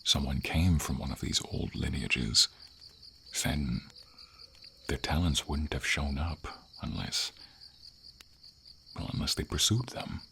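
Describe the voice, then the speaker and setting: A man voicing a gentle, wise dragon in a fantasy ASMR roleplay, speaking formally in slow, measured phrases with thoughtful pauses. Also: exaggerated voice. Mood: encouraging, earnest. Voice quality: very deep, gravelly. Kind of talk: ASMR fantasy roleplay, scripted character acting. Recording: steady hiss of rain and insects behind. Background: light rain and night insects.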